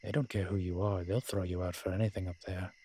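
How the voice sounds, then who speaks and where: A man playing a sly, worldly incubus demon in an audio roleplay, husky and sardonic, talking in an unhurried, conversational way. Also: deep voice, little pitch movement, dark tone, slight background noise, close to an everyday voice. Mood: musing, cautious. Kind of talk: dramatic character roleplay acting.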